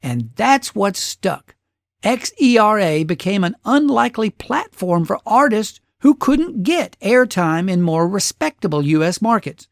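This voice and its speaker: A man's voice: high-pitched, creaky, dry recording, studio quality. A man hosting a radio show, narrating history from a script with casual asides in a folksy, conversational storytelling way.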